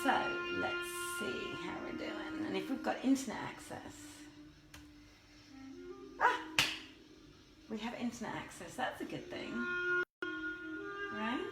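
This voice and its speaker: Chatty, higher-voiced woman thinking aloud. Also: expressive, echoey room, over loud music. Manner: chatty, halting, thinking aloud while busy. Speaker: theatre performer hosting a livestream reading. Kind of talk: casual live-stream chat to viewers. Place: inside a theatre building, moving between rooms. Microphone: phone.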